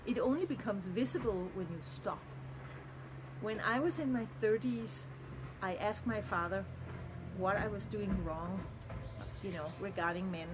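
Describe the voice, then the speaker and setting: A woman's voice: mid-range voice, a little slow, long pauses, background noise. Earnest middle-aged woman reflecting. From Denmark. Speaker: vlogger. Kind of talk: personal reflective monologue.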